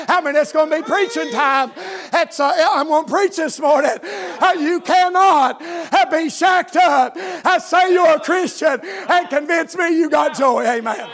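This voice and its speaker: A man with a Southern US accent preaching a fervent sermon, often shouting and punctuating his phrases with exclaimed amens. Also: very high voice. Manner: forceful, often shouting delivery.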